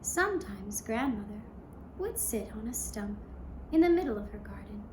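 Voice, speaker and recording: A woman storyteller narrating a fairy tale for young children in a gentle, measured manner with short pausing phrases. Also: medium pitch, expressive. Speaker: puppet show storyteller. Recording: roomy echo, clear background noise.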